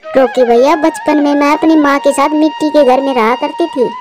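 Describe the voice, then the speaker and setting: A woman's voice: sits high, fairly even intonation, moderate pace, over music, lightly acted. A woman voicing an earnest, caring mother sparrow in a children's moral-story cartoon. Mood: earnest, hopeful.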